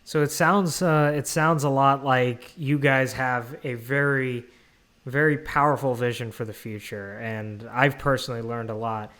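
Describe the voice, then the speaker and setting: A man's voice: medium pitch, fairly even intonation, unhurried, fairly bright tone. A man hosting an interview podcast, asking long, rambling questions full of restarts. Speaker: podcast host.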